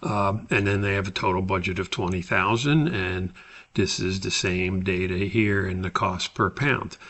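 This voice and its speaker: A man giving an unscripted, step-by-step tutorial as an instructor, explaining in a halting, methodical way. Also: sits low, fairly bright tone. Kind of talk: screen-recorded spreadsheet tutorial, unscripted explanation.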